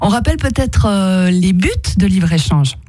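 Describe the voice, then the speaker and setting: Female radio host, upbeat and encouraging, mellow, dry studio. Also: medium pitch, expressive, no room sound. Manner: upbeat, encouraging, quick reactions. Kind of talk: radio interview hosting. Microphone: studio microphone.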